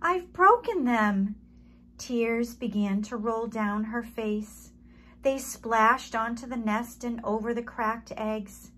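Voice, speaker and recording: A woman storyteller reading a children's story aloud in an expressive, deliberate manner. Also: on the high side.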